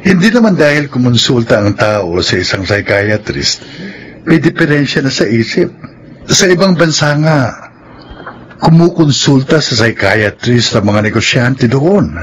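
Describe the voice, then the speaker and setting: An actor playing a reassuring, deliberate male psychiatrist in a Filipino radio drama. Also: mid-range voice, big pitch swings, slight background noise, rough recording. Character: reassuring, measured male psychiatrist advising clients.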